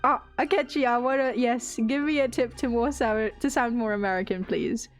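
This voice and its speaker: A woman's voice: a little high, normal speed, soft background music. A young British woman streamer, rambling and laughing through casual chat and swearing freely.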